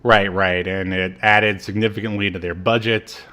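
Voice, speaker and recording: Lowish-voiced American man in casual chat. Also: level tone, normal speed, pushed and bright. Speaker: podcast co-host. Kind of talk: casual chat reviewing a TV show.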